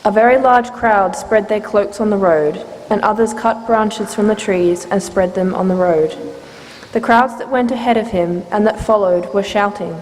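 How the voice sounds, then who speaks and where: A woman's voice: mid-range voice, normal speed, darkish tone. A woman giving a formal, scripted narration of a scripture story. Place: large church, live reading. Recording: reverberant church hall, faint noise.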